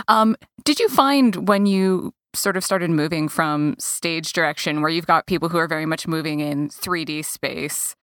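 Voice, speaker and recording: Lowish-voiced woman, dry close sound. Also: fairly bright tone, no room sound. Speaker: interviewer. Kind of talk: casual interview chat.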